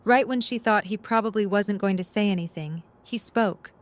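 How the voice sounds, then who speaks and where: A woman's voice: medium pitch, normal speed. Woman narrating a scripted story.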